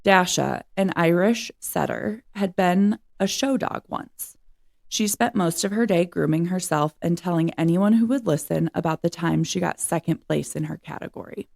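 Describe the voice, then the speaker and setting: A woman reads a children's story aloud. Her voice sits a little low and carries a strong creak, in the manner of scripted narration read from a book. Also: normal speed, a little mellow.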